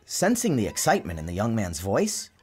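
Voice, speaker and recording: A man giving a dramatic, expressive narration of a storybook, theatrical in his phrasing. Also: lowish voice, a little fast, breathy edge, some chatter behind. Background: music.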